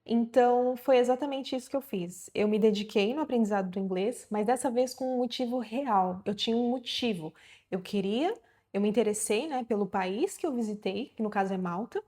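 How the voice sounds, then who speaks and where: Brazilian woman, thirties, vlogger; mellow, slightly high voice, loose and encouraging delivery. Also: fairly quick. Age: 30s. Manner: chatty, encouraging, many fillers and restarts. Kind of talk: casual talk to camera.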